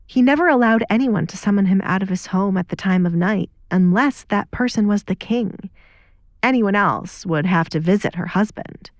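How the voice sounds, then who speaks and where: A woman's voice: medium pitch, normal speed, no echo, studio quality. Woman narrating a dramatic audio story.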